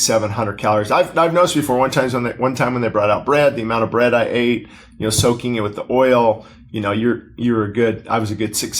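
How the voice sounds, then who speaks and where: A middle-aged man, a pastor, reading his own book aloud into a podcast microphone in a small studio, with casual asides and frequent fillers and restarts. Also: medium pitch. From Southern California. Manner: conversational, frequent fillers and self-corrections. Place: small studio with posters on the wall. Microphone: desk-mounted podcast microphone.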